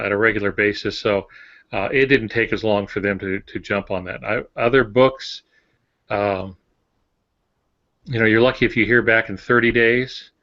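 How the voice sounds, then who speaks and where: Lowish male voice explaining, dull sound. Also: a bit bright. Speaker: author and podcast co-host giving advice. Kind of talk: explanatory casual talk. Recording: dull lo-fi audio.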